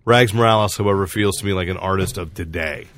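A man's voice: deep voice, fast talker, a bit bright. A man hosting a casual comics podcast, explaining things in a rambling way and often correcting himself. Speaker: podcast host reviewing comics. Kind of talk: casual chat about comics.

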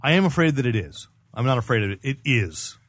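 A man's voice: medium pitch, sing-song, brisk, fairly bright tone, dry recording. A man hosting a casual news chat among friends. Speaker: podcast host.

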